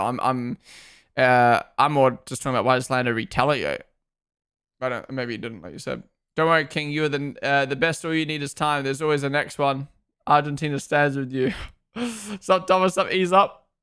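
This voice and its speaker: A young man with a mixed New Zealand and Australian accent, casually commentating a live watchalong and chatting with viewers, with frequent quick asides. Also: medium pitch, smooth. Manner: chatty, quick asides, reacts loudly to on-track moments. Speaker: streamer hosting a watchalong.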